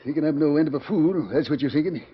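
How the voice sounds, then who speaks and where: An actor playing an excitable but loyal Old West sheriff with a rural Western American accent, sputtering folksy exclamations in 1940s radio style. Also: male voice, a little high, fast, slightly rough. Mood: outraged. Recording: muffled 1940s radio recording.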